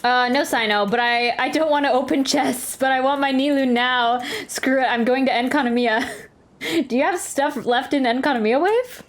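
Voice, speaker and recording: A woman's voice: high voice, normal speed. A woman live-streaming a game, chatting casually, thinking aloud and answering her viewers. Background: faint game music.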